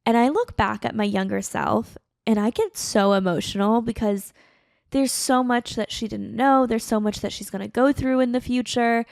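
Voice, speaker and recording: Woman in her late twenties, creaky voice, dead dry close mic, chatty, earnest, rambling podcast talk. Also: fairly high pitch, fairly even intonation, moderate pace, a little mellow, dry recording. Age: late 20s. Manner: chatty, earnest, heavy on fillers. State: tearful, emotional. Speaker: podcast host. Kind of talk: casual solo podcast monologue.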